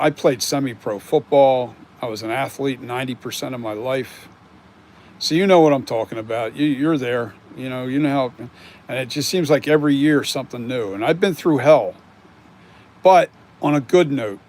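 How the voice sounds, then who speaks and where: A man's voice: medium pitch, moderate pace, darkish tone, slight background noise. A man in his sixties chatting casually on a stream, rambling and candid, with the odd swear word. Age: 60s. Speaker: mask and prop maker. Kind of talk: casual live-stream chat.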